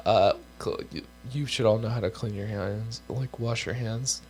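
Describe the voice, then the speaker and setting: A man's voice: on the low side, fairly even intonation, moderate pace, a little mellow, slight background noise. Teen guy losing his voice. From United States. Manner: offhand, joking asides, says "like" a lot. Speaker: co-host. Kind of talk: casual chat, reading guidelines aloud.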